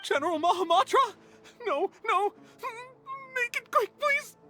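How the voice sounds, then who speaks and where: A woman's voice: extremely high pitch, big pitch swings, measured pace, sharp tone, faint music behind, full character voice. A woman playing a cowardly, panicky academic underling in a video game cutscene, faltering and pleading. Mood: panicked, pleading.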